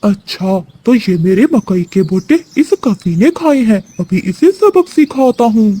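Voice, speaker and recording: A woman's voice: medium pitch, expressive, unhurried, dark tone, slightly rough. A woman voicing a stern male hawk, a farm owner in a children's cartoon, scolding and accusing. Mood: angry. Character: stern male hawk who owns a cornfield. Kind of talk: Hindi children's cartoon voice acting.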